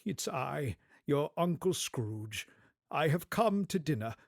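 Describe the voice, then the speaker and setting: An elderly reformed miser, bursting with giddy generosity in a storybook radio play. The voice leaps high and swoops widely, exclaiming with effusive old-fashioned courtesy, and sounds close and dry. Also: male voice, takes it slowly, vocal fry, no room sound, full character voice. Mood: warmly courteous. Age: older. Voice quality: excitable, exclaiming, breaking into chuckles.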